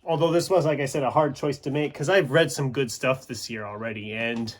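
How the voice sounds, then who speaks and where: A man's voice: medium pitch, steady tone, fairly quick, slight background noise. A man talking casually and unscripted as a book video host, rambling and often interrupting himself in a conversational way. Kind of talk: casual unscripted chat to camera.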